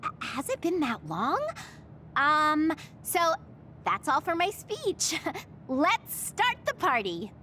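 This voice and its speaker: A woman's voice: high voice, big pitch swings, slow, bright, forceful tone, a little hiss or hum, heavily acted. A woman voicing a sweet, eager young female team organiser in an anime game, earnest and polite. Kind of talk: anime video game dub acting.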